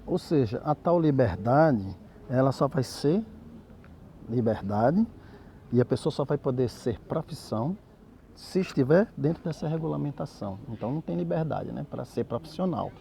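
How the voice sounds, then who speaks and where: A man's voice: medium pitch, expressive, normal speed, gravelly, dark tone, slight background noise. A middle-aged Brazilian man with a Brazilian Portuguese accent, a capoeira master giving a reflective, argumentative commentary that keeps seeking agreement. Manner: reflective, argumentative, with frequent "né?" tags. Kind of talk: spoken commentary to camera.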